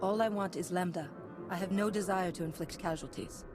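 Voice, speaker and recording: A woman voicing a calm, principled, resolute Jedi knight in a video game, earnest and commanding. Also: on the low side, fairly quick, close to an everyday voice. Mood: firm. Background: game music.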